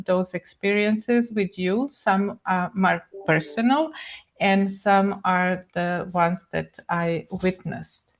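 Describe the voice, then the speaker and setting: Older Croatian woman, slow halting webinar talk. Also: mid-range voice. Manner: halting, slow, with many pauses and fillers. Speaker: guest speaker, neurochemist. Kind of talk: webinar lecture. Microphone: video call.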